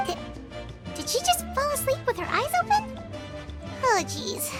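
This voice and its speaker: Super high squeaky little fairy mascot, anime game, blunt, loud music under it. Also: female voice, wildly swooping pitch, unhurried, exaggerated voice. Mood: curious. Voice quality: squeaky, childlike. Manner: blunt, speaks of herself in third person. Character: tiny childlike floating fairy companion, curious and blunt.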